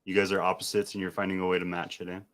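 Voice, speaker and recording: Laid-back low-voiced podcast host. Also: male voice, very fast. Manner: relaxed, rambling, filler-heavy. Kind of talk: casual podcast chat.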